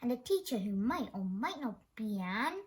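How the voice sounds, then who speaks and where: A woman reads a children's story aloud in an expressive way. Her voice sits a little high and moves up and down a great deal. She occasionally trips on a word and carries on. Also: normal speed. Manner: expressive, with stumbles over words. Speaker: storybook reader.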